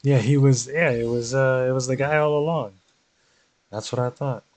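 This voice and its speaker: A man's voice: medium pitch, fairly even intonation, moderate pace, a little mellow. A man streaming a game, giving casual live commentary as he mutters to himself.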